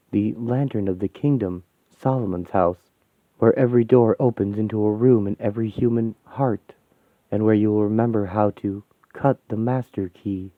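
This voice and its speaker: A man playing a cryptic old mentor in a story, solemn and coaxing, with grand, measured phrasing. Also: lowish voice, no room sound, lightly acted. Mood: solemn, inviting. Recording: thin phone-like sound.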